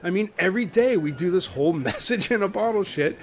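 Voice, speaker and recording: A man's voice: high-pitched, animated, a little fast, a little hiss or hum. A man playing an exasperated, resigned castaway in a comedy radio play, speaking bluntly and casually.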